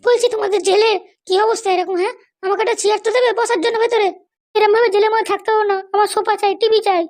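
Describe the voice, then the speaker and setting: A woman's voice: extremely high pitch, steady tone, very fast, a little mellow. A woman voicing an arrogant, scolding madam behind bars in an amateur comedy cartoon. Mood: demanding, indignant. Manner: bossy, scolding. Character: arrogant, threatening jailed madam demanding luxuries and release.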